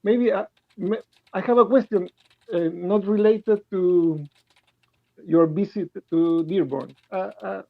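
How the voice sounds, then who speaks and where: A man from Chile with a Chilean Spanish accent, calling in to ask a question in halting English, full of restarts and nervous laughs. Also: high voice, creaky, no room sound. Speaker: caller. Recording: tinny phone-like call line.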